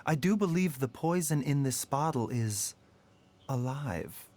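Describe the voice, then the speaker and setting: A man voicing a calm, learned physician in a video game, explaining things in a measured, gentle way. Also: medium pitch, lightly acted.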